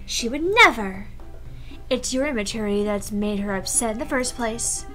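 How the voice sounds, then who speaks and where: A woman voicing a prim, shy high-school girl poet in a visual novel, formal in speech and stammering when flustered. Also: normal speed, pushed and bright, soft background music. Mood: indignant. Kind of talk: visual novel voice-over read aloud.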